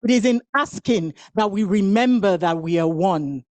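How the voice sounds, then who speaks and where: A woman's voice: medium pitch, expressive, darkish tone, dry recording. A woman whose first language is Igbo, giving a rhythmic, incantatory spoken-word poetry performance at a women's event. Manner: rhythmic, incantatory delivery with long pauses.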